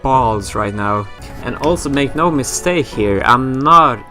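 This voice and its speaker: A man's voice: mid-range voice, animated, slow, bright, forceful tone, faint music behind. A man from Sweden with a Swedish accent, rambling and joking his way through a horror game let's play. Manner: rambling, self-mocking, swears casually. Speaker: gaming YouTuber.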